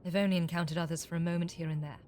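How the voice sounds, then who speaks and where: An actress in an audio drama playing a shrewd, defiant captive con artist, sardonic and evasive. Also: female voice, lowish voice, steady tone, very fast, dark tone, close to an everyday voice. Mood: guarded, grim.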